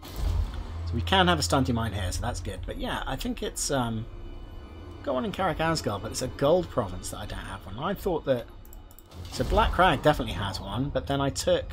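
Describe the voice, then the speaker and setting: A hoarse man, thinking aloud as he plays through a strategy game, hesitant and full of ums. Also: mid-range voice. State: hoarse from being unwell. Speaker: gameplay commentator. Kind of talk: casual let's-play commentary. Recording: music playing behind the voice.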